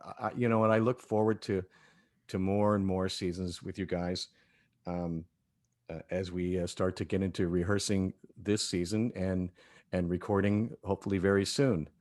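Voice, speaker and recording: Low-voiced man chatting casually. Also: fairly even intonation, moderate pace, a little mellow. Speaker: audio drama cast member. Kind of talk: casual group chat.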